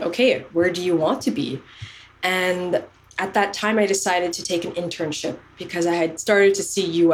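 A woman's voice: fairly low pitch, a little hiss or hum, rough recording. A woman in her thirties, raised in Canada, earnestly telling the story of her career as a podcast guest. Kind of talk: casual interview chat.

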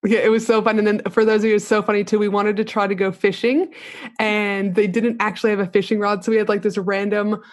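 A woman's voice: mid-range voice, flat delivery, fast talker, no room sound, clean studio sound. Bubbly enthusiastic woman podcast host. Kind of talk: podcast interview and ad read. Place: podcast recording.

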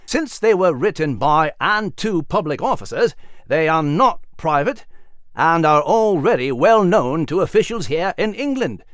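Refined gentleman creature male narrator acting: formal, scholarly, expressive, dry close sound. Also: a little high, a little slow, no echo. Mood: firm, reassuring. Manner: proper, formal, precise. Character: refined, learned, bespectacled male animal gentleman.

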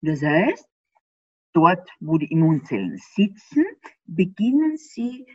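A woman's voice: fairly low pitch, sing-song, slow, breathy edge, dry recording. A woman from Austria with an Austrian accent, explaining things animatedly in an interview, laughing often and asking rhetorical questions. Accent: Austrian German. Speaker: interview guest. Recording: slightly dull dry sound.

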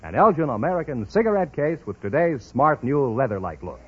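A man's voice: mid-range voice, very animated, brisk, breathy edge. A man reading scripted prize and sponsor copy as an announcer on 1950s radio. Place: radio show stage. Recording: muffled old-time radio recording.

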